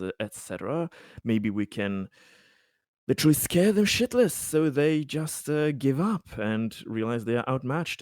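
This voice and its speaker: A man playing a tabletop roleplaying game, improvising his character's dialogue in a deliberate, halting and somewhat formal manner. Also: lowish voice, no room sound, studio quality.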